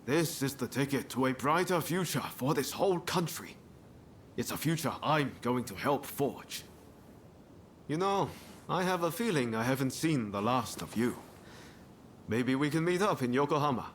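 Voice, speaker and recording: A man's voice: medium pitch, lively intonation, normal speed, slight background noise, natural voice. An actor playing a jaunty, roguish ronin with big ambitions in a video game cutscene, breezy and playful, drawing out his phrases. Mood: breezy, confident.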